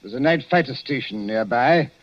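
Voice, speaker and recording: An actor playing a brusque, officious army colonel in 1940s radio drama style. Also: male voice, mid-range voice, animated, normal speed, highs cut off, lightly acted. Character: stern older British army colonel.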